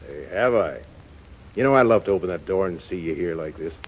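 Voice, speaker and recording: Hard-boiled gambling boss, slangy, blunt, lively intonation, 1930s radio melodrama, muffled archive recording. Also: male voice, low-pitched, brisk, slight background noise. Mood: ardent. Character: tough, plain-spoken gangster gambling boss in love. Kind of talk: 1930s radio drama acting.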